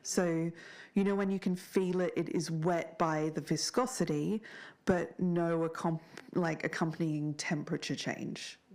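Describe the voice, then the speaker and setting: A woman playing an earnest, shaken survivor of a strange town, speaking haltingly in a naturalistic audio drama interview. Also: lowish voice, steady tone, a little mellow. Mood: halting, unsettled. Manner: halting, searching for words.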